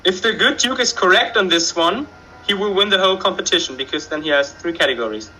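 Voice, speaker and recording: A man's voice: sits high, lively intonation, fast, bright, forceful tone, slight background noise, poor audio. A man reading trivia questions and answers aloud on a casual online show, hesitant with frequent fillers. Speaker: question reader.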